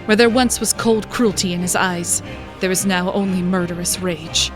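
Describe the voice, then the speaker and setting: A woman's voice: on the low side, fairly even intonation, moderate pace, loud music behind the voice. A woman reading scripted, dramatic narration for a fantasy story as its narrator.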